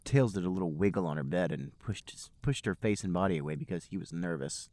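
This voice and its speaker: A man's voice: deep voice, fast talker, darkish tone, dry recording. A man hosting a podcast, reading comic fan fiction aloud in a mock-earnest storybook manner. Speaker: podcast host and narrator.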